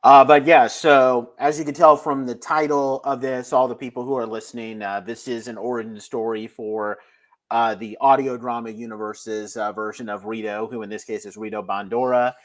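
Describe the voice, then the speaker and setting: A man co-hosting a podcast, giving a casual, unscripted review in a halting way with many filler words. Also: mid-range voice, fairly even intonation. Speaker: podcast co-host leading a review.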